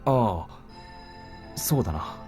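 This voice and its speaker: A man's voice: on the low side, expressive, normal speed, darkish tone, natural voice. A man voicing a serious, curt young soldier in a Japanese visual novel. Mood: tense. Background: game background music.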